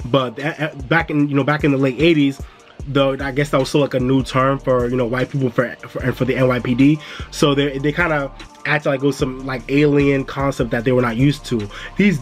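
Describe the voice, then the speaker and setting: A man hosting a casual review vlog, laid-back and chatty, calling his viewers "y'all". He sounds a bit stuffy because he is coming down with a cold. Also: medium pitch. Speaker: music and entertainment YouTuber.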